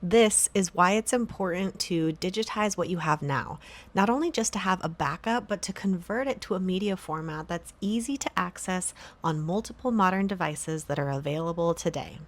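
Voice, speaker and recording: Female tutorial presenter, slightly lower register, scripted step-by-step instructional narration. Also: normal speed. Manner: clear step-by-step instructional delivery.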